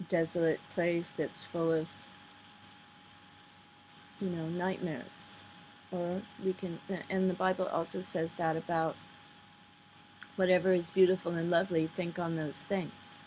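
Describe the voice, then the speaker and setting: A woman giving an informal, earnest spoken commentary, pausing between phrases and sometimes reading aloud, on a noisy amateur recording. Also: lowish voice, a little mellow. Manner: earnest, halting delivery with pauses between phrases. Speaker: vlogger. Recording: noisy amateur recording, poor sound quality.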